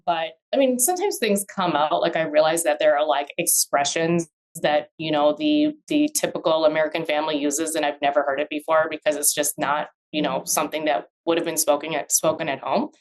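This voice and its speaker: A woman's voice: sits low, a little fast, few pauses, rough recording. A woman in her forties, born in Vietnam and raised in the US, talking as an attorney guest in a casual interview, thoughtful and full of fillers and restarts. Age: 40s. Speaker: guest, real estate attorney.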